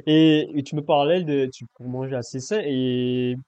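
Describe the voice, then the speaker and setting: A man hosting a podcast interview, soft-spoken, agreeing often and asking questions with hesitations. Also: medium pitch, steady tone, moderate pace, clean tone, muffled recording. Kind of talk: casual interview chat.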